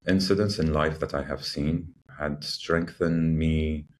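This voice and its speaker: A man in his forties from Iraq, a podcast guest speaking in a measured, hesitant way with many fillers and restarts. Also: a bass voice, fairly even intonation, slight background noise. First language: English learned first, also Arabic. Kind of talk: reflective interview talk.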